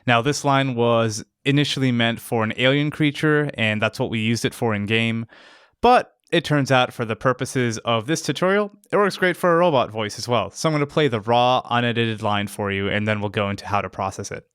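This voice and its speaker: A man's voice: medium pitch, brisk, fairly bright tone, smooth, dry recording, studio quality. A man hosting a casual instructional video, explaining step by step as a sound designer. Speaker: tutorial host, sound designer. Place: close, dry voice-over recording.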